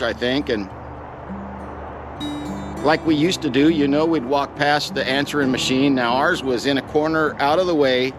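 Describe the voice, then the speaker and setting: A middle-aged man sharing a personal story in a reflective, sincere, plain-spoken way, as if chatting to viewers. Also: a little high. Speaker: pipe vlogger telling a personal story.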